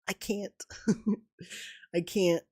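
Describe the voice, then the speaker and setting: A young man commenting live on a video game match, excitable and quick to burst into exclamations, his voice strained and starting to go hoarse. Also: high voice. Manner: excitable, rising to sudden exclamations. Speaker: esports commentator and streamer.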